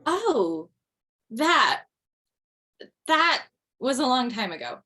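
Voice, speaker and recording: A woman's voice: sits high, very animated, drawn-out words, pushed and bright, full character voice. A woman playing a dry, hesitant middle-aged widowed mother who used to do standup, in a comedy table read. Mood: evasive. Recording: video call.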